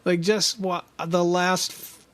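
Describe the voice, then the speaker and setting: A man with a high voice, co-hosting a podcast in a casual chat and telling stories with lots of laughter. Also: slow. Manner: animated, laughs often, trails off and restarts. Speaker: podcast co-host.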